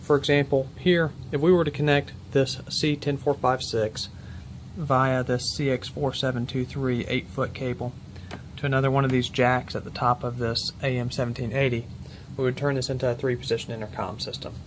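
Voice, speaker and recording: A man's voice: mid-range voice, little pitch movement, normal speed. Methodical man explaining, with background noise. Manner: methodical, matter-of-fact, precise with detail. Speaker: presenter demonstrating military intercom equipment. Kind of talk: unscripted technical walkthrough.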